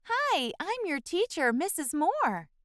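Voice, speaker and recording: A woman's voice: extremely high pitch, very animated, slow pace, a bit bright, dry recording, clean studio sound, pitched above the performer's own voice, full character voice. A woman voicing a friendly, patient female schoolteacher in a storybook, coaxing and encouraging young children. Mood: cheerful greeting. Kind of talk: storybook character voice.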